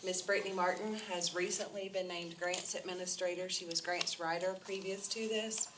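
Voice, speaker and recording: A woman presenting a county budget at a public council workshop, measured and formal with frequent ums, with a head cold. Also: lowish voice, fairly even intonation. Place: council meeting room. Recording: noisy public meeting recording.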